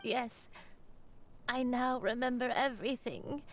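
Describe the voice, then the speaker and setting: Slow, wistful, gentle grandmother. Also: a little high, very noisy. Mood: dawning, shaken. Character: gentle, wistful grandmother with long-lost memories. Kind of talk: dramatic fantasy video game acting.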